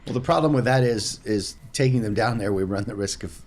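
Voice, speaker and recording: A man talking casually as a tabletop game player, thinking aloud in halting phrases. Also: fairly low pitch, a little mellow.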